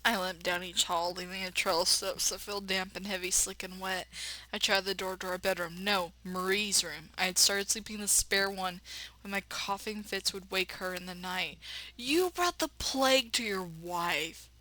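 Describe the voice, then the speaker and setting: A woman's voice: medium pitch, moderate pace, poor audio. A woman reading a visual novel aloud, expressively, and breaking in with blunt, sassy commentary. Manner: expressive narration broken by blunt sassy asides. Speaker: let's player.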